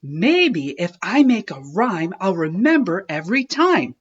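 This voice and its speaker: Woman as an exuberant young boy shark, animated. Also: medium pitch, a little slow, slightly rough, lo-fi sound. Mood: eager. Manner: bellowing, over-excited exclamations. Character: exuberant, loud young boy shark, eager and well-meaning. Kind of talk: children's storybook character voice.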